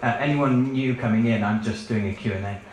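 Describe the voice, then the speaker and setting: A man's voice: mid-range voice, fairly quick. A man from the UK with a British accent, a performance poet speaking in a wry, offhand way to a live audience. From United Kingdom. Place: book fair event room. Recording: echoey live event hall.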